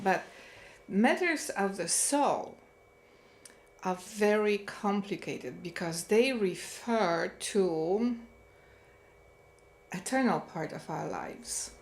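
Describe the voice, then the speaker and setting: A woman hosting, giving a reflective monologue slowly and thoughtfully, pausing often to search for words. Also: lowish voice, roomy sound, slight background noise. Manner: slow and thoughtful, with frequent pauses and fillers. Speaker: host.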